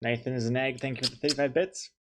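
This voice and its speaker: A man's voice: mid-range voice, steady tone, quick pace, fairly bright tone, a little hiss or hum. A young man chatting casually on a stream, joking and thanking viewers as he goes. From New Zealand. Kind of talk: casual chat while drawing on stream.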